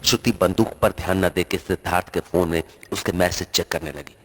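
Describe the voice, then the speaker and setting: A man's voice: sits low, steady tone, a little fast, a little hiss or hum. A man narrating a scripted horror story.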